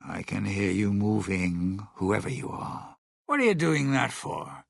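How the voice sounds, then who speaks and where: An actor playing a sly, world-weary lounge singer in a video game, talking in wry showman's patter and dated slang with a gravelly, husky voice. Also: on the low side, big pitch swings, measured pace, no echo, studio quality, exaggerated voice. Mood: irritated, sardonic. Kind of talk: video game companion voice lines.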